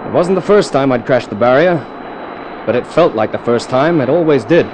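A man's voice: mid-range voice, expressive, normal speed, background noise, natural voice. Cool, wry 1950s radio test pilot, played by a man. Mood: tense, wry narration. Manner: dramatic, clipped, wry storytelling. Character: cool, wry male jet test pilot narrating his adventure. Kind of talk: 1950s radio thriller narration and acting. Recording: old radio drama with music underneath.

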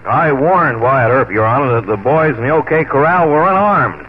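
A man acting a crooked frontier sheriff, emphatic and deliberate, in a 1940s radio western. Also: mid-range voice, expressive, close to an everyday voice. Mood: solemn, accusing. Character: crooked frontier sheriff giving self-serving sworn testimony. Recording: muffled, noisy 1940s radio broadcast.

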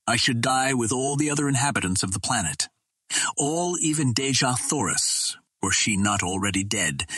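A man reading a first-person adventure novel as an audiobook narrator, with measured, literary storytelling delivery. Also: on the low side, fairly bright tone, breathy edge, no room sound.

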